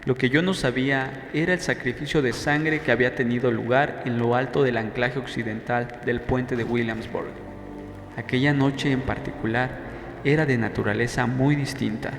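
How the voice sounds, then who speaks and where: Guy narrating an audiobook novel, normal pitch, lots of echo n reverb, bit of noise behind. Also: a bit bright. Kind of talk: scripted first-person novel narration. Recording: echoey, long reverb, faint background noise.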